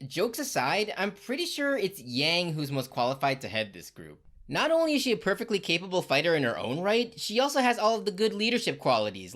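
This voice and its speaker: A woman's voice: lowish voice, fairly bright tone. A woman delivering scripted comedic recap narration in a sarcastic, slangy, irreverent way.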